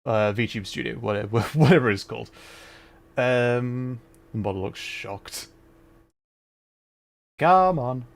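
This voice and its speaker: A man streams a video game and talks along as he plays. His voice sits at a middling pitch as he chats casually with his viewers. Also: lively intonation, takes it slowly, gravelly, long pauses. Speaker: livestreamer playing a video game. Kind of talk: casual live game commentary and chat with viewers.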